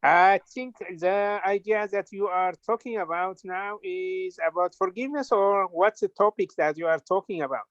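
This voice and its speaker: A man's voice: high-pitched, normal speed, hardly any pauses, fairly bright tone. A man politely introducing himself on a video call, a little tentative. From Ethiopia. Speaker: meeting participant. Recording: dull video call audio.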